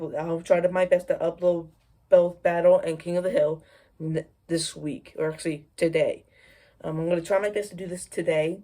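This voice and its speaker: A woman talks casually to her viewers in a low voice with a dark, mellow tone. She rambles and halts, often doubling back over the same phrase as she introduces her video. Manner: halting, rambling, repeats phrases. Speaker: video creator introducing a premiere.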